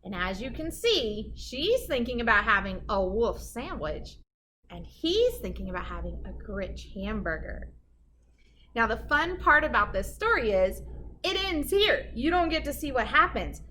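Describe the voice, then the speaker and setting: A woman's voice: on the high side, very animated, a little slow, pushed and bright, a little hiss or hum. A woman reading a children's picture book aloud like a teacher, explaining and putting questions to her listeners.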